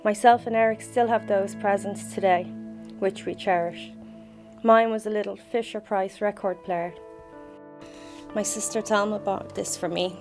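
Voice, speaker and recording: A woman's voice: mid-range voice, fairly even intonation, measured pace, darkish tone. Dublin woman reminiscing, music underneath. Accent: Dublin Irish. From Dublin, Ireland. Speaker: bereaved sister giving a tribute. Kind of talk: personal memorial tribute, mostly read.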